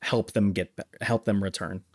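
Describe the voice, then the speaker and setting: A man's voice: low voice, fairly even intonation, moderate pace. A man co-hosting a podcast, discussing film in a casual, explanatory way. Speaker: podcast co-host.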